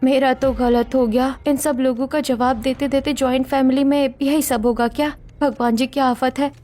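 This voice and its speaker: A woman voicing an anxious, dutiful young bride in an earnest, expressive family drama story. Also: high voice, moderate pace, faint music behind, close to an everyday voice. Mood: worried.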